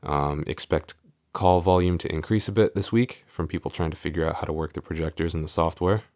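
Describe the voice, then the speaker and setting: A man playing a shy, easily flustered tsundere boyfriend and office coworker in an intimate audio roleplay, with a halting, stammering delivery. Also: low voice, fairly even intonation, darkish tone, dry recording, studio quality, natural voice. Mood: businesslike, hesitant.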